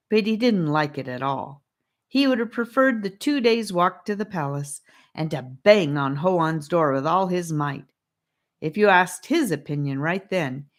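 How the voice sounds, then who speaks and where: Woman reading kids fantasy audiobook, low and slow. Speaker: audiobook narrator. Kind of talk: storybook narration.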